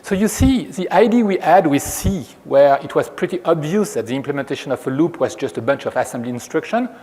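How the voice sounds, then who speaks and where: A man from France with a French accent, giving a measured technical lecture as a researcher at a conference. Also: fairly high pitch, expressive, warm tone. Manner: measured, explanatory, frequent restarts. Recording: long reverb of a hall.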